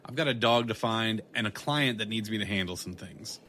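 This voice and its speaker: An actor playing a world-weary, sarcastic, blunt private detective in a noir audio drama. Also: male voice, on the low side, fairly quick, sharp tone. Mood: weary, wry. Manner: sardonic, wry.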